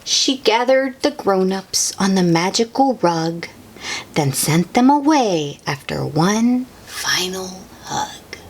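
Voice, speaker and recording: A woman reading a picture book aloud to young children in an expressive, sing-song way, with chatty asides to the listeners. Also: mid-range voice, takes it slowly, a little hiss or hum. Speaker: children's read-aloud host.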